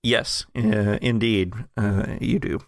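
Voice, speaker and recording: A man narrating a tabletop game as game master, casual and jovial, with a creaky voice. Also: on the low side, steady tone, slow, no echo, clean studio sound.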